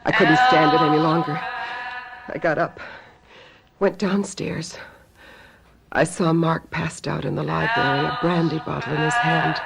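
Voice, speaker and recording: An actress playing a shrewd, menacing sister-in-law who claims to be a witch, in a radio mystery drama, with a husky voice and a deliberate manner. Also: lowish voice, rough recording. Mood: haunted narrating.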